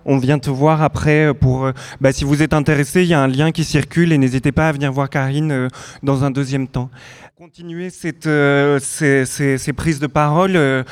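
Smooth-voiced man moderating a meeting. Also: mid-range voice, steady tone. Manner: halting, with many fillers. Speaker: event moderator.

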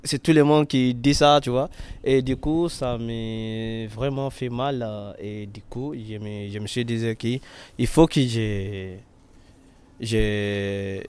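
A man's voice: mid-range voice, fairly even intonation, takes it slowly, a little mellow, clear, resonant tone. A young man from western Nigeria whose first language is Yoruba, talking in an interview with many fillers and restarts. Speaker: interviewee, artist and freestyle rapper. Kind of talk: casual interview in learner French.

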